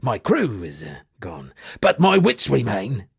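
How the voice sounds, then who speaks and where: An actor playing an eccentric shipwrecked sea captain and pompous amateur poet, speaking florid, dramatic game dialogue in a gravelly, husky voice. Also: male voice, mid-range voice, huge pitch swings, a little slow, dry recording, clean studio sound, full character voice. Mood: theatrical, rueful. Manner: florid, dramatic, alliterative declaiming. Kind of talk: theatrical video game character dialogue.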